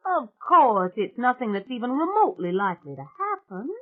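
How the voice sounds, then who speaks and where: A woman's voice: on the high side, sing-song, normal speed, pitched above the performer's own voice. A woman voicing a gracious, firm older society lady who speaks in a genteel, commanding way. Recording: tinny phone-like sound.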